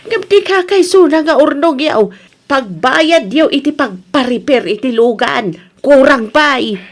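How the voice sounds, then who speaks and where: A woman's voice: high voice, expressive, a little slow. A woman playing a stern mother lecturing her grown son reproachfully in an Ilocano radio drama.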